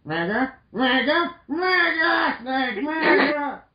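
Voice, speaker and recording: A man's voice: very high-pitched, expressive, takes it slowly, pitched above the performer's own voice, exaggerated voice. A man voicing a whiny, lovesick young robot girl in an overacted, wailing amateur dramatic reading. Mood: wailing, desperate. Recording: dull lo-fi mic in echoey room.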